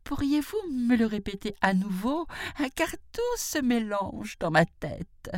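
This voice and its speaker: A woman's voice: on the high side, big pitch swings, slow pace, no echo, studio quality, pitched above the performer's own voice. A woman voicing a sly jackal who feigns muddled stupidity, stammering and hesitant, in a storybook tale. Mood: puzzled.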